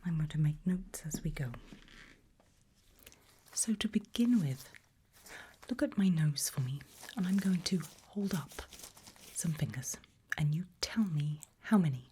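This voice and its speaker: A woman softly whispering a medical exam roleplay in ASMR style, giving gentle, deliberate instructions with pauses. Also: low voice, lively intonation, slight background noise. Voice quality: soft breathy whisper. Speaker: ASMR performer playing an examiner.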